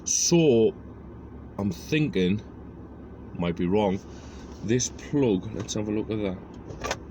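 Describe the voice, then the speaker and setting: A man with a fairly low voice presents a casual how-to. He talks through each step as he works, thinking aloud as he goes. There is some faint noise on the amateur recording. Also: animated, takes it slowly, mellow. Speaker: presenter of a DIY car project video. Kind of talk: casual hands-on tutorial talk. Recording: noisy amateur recording with clicks.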